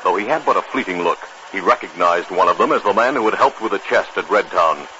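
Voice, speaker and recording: A man's voice: deep voice, expressive, fast talker, sharp tone. An American man announcing and narrating in a polished, dramatic 1950s radio style. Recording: very noisy, muffled 1950s radio recording.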